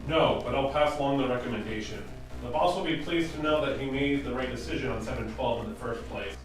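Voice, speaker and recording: Far from the mic in heavy echo, a man plays an irritated government official. He is skeptical and mid-pitched, in radio-play style, over music. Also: fast, natural voice. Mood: grudging. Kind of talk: radio drama acting. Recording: very echoey, distant mic, clear music underneath.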